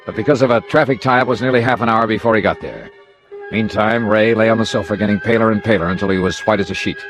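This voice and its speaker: An actor playing a wry, hard-boiled American insurance investigator in classic radio drama, clipped and plain-spoken. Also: male voice, lowish voice, fast, close to an everyday voice. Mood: grim. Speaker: lead actor and narrator of a radio detective drama. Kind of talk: classic radio drama acting, first-person narration. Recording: old radio drama with music.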